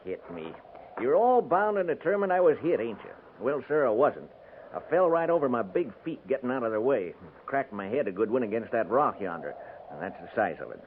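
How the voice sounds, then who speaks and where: An actor playing a cantankerous, folksy frontier settler in a 1950s radio drama, talking in a rustic, garrulous way. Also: male voice, mid-range voice, sing-song, brisk, natural voice. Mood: crusty, indignant. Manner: rustic, garrulous, emphatic drawling talk. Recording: narrow-band 1950s radio recording, faint noise.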